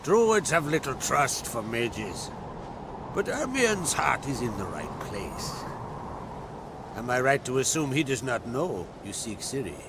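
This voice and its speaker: An actor playing a proud, fatherly island jarl in a video game, speaking in a deliberate, solemn way with rustic phrasing. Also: medium pitch, big pitch swings, background noise. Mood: grave, measured. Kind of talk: dramatic video game dialogue. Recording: game scene with loud music underneath.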